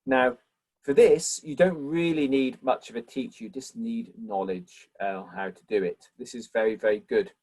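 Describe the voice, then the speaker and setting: A man's voice: mid-range voice, steady tone, normal speed. Man answering questions after a lecture. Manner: thoughtful, warm and appreciative toward questioners. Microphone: video call.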